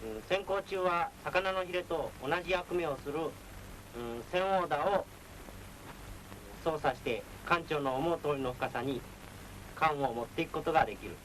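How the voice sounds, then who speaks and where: A man giving a technical military lecture as a naval instructor, measured, formal and authoritative. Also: on the high side, fairly bright tone. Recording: noisy 1940s film soundtrack.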